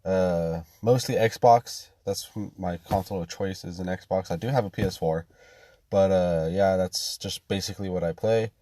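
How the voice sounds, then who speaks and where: Low, mellow-voiced man hosting a podcast. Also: no room sound. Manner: hesitant, halting with fillers. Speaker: podcast host. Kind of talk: casual chat.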